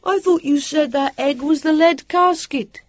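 A woman voicing a small, plucky, petulant young boy who calls out loudly in a storybook reading. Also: on the high side, breathy edge, voice pushed up, exaggerated voice. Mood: petulant shouting. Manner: calling out loudly, drawn-out words.

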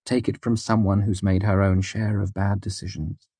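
A male narrator plays a worldly storybook woman, lifted well up in pitch and husky. She is matter-of-fact and arch, scolding hard but caring underneath. The sound is close and dry. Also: little pitch movement, moderate pace, dark tone, no room sound. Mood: frank, caring. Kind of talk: audiobook character dialogue.

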